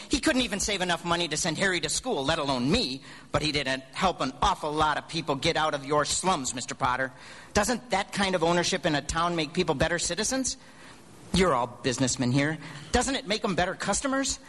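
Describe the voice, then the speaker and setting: An earnest young American small-town man in a radio play. His voice is bright and fairly high, swinging widely in pitch. He talks in a folksy, hot-blooded way and stammers when flustered. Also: expressive, fairly quick, long reverb tail. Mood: indignant, impassioned. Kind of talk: live radio drama acting. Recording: live stage radio broadcast.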